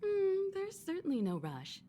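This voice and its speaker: Mellow, poised woman navigator. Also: very high-pitched, wildly swooping pitch, full character voice. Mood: thoughtful. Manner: composed, measured, gently authoritative. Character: poised, gracious adult woman navigator and mentor. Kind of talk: video game voice acting. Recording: faint music behind the voice.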